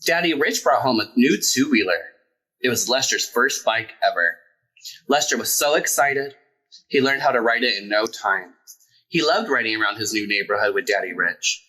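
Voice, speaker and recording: Bright-voiced man reading a picture book. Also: mid-range voice, normal speed, low-quality recording. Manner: expressive, emphatic. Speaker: storyteller. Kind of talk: children's picture book read-aloud.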